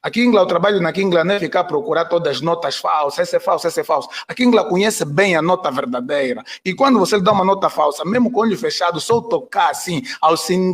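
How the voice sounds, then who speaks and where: Angolan pastor dude, quick and high, earnest, keeps restarting sentences on a video call. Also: male voice, expressive, breathy edge. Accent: Angolan Portuguese. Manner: fast, earnest, many restarts. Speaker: pastor, co-host. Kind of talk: live Q&A chat.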